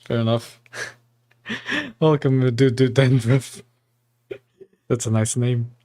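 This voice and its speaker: Slow, easygoing male streamer. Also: medium pitch. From Portugal. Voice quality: relaxed, chatty, often laughing, greets chat by name. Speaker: live stream host. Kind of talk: casual live stream chat and reading study material aloud.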